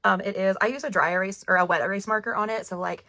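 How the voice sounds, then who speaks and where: A woman's voice: mid-range voice, fast, bright, forceful tone, no echo, clean studio sound. A woman in her thirties who is losing her voice, chatting upbeat to camera as a budgeting vlogger. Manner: chatty, upbeat, laughs often. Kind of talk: casual chat to camera.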